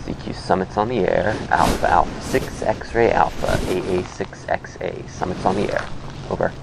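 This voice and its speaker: A man's voice: low voice, fairly even intonation, normal speed, vocal fry, noisy background. An American man working as an amateur radio operator, making repetitive, procedural calls with spelled-out call signs. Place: outdoors on a hilltop.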